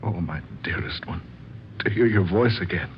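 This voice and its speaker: A low-voiced husband played by a male actor in an old radio drama. He is earnest and melodramatic, devoted on the surface and scheming underneath. The recording is dull with a faint noise. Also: moderate pace, close to an everyday voice. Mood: tender. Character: scheming husband Harvey, outwardly devoted, turning anxious.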